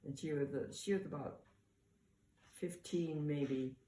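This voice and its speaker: A woman's voice: low voice, a little slow, mellow. An older woman shares family memories in a casual, conversational way, often restarting as she recalls details. She is recorded at a distance in an echoey room, with faint noise behind her. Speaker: mother sharing family memories. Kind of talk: casual oral-history reminiscence.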